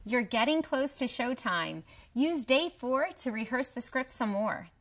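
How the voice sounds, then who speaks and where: A woman's voice: on the high side, lively intonation, unhurried, fairly bright tone, soft background music. A woman delivering scripted promotional narration as a presenter. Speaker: host presenter.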